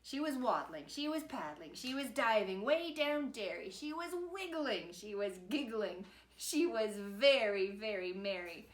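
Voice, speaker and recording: A woman's voice: medium pitch, animated, unhurried, hardly any pauses, reverberant. A woman narrating a rhyming children's story in a playful, rhythmic singsong. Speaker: storybook reader.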